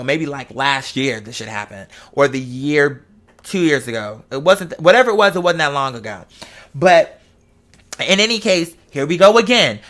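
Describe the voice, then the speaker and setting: A man's voice: fairly high pitch, pushed and bright. A man in a casual, chatty monologue, speaking in a sassy, campy, slangy and emphatic way.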